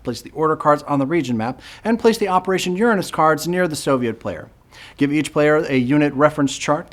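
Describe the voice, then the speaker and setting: A man hosting a board game channel, walking through the rules in a scripted, upbeat tutorial with jokey, self-deprecating asides. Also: a little high. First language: English. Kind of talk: scripted how-to-play tutorial.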